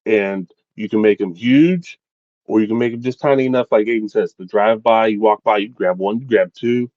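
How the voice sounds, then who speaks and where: A man's voice: fairly low pitch, moderate pace, darkish tone, no echo. A man co-hosting a podcast, joking in a playful, mock-grand way about snacks. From West Virginia. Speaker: podcast co-host. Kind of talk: casual chat. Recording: dull, dry lo-fi mic.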